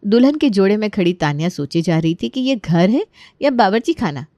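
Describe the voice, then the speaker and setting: A woman narrates a family story in an expressive, conversational way. Her voice sits at a middle pitch, and the recording is close and dry. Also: a little fast, no echo. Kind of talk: animated Hindi storytelling narration.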